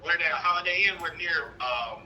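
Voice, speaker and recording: A man's voice: a little high, moderate pace, highs cut off, crowd noise. A man with an American accent calling in, telling his personal story in a casual, rambling way. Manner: rambling, frequent "you know". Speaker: caller, father seeking custody.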